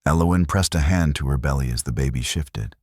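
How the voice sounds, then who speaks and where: Very deep-voiced male storyteller. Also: a little fast, a little mellow, breathy edge, no echo, studio quality. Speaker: storyteller narrator. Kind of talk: scripted audiobook narration of a Western romance.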